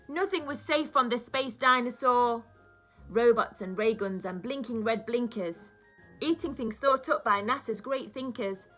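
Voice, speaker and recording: A woman, a primary school teacher, reading aloud to young children in an expressive storybook style with dramatic emphasis. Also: on the high side.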